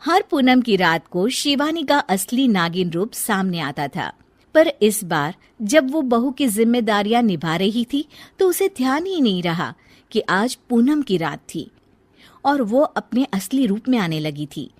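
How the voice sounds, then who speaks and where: Woman reading a scripted story, higher voice, very dry recording, storyteller. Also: very animated, unhurried, no room sound. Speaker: story narrator.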